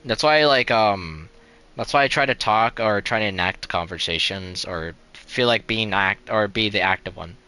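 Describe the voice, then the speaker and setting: A young man with a low, bright voice chats casually while live-streaming a game. His sound is crisp and clean. He rambles, restarts his sentences and fills them with "like" and "you know", in a flat, resigned tone. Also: normal speed.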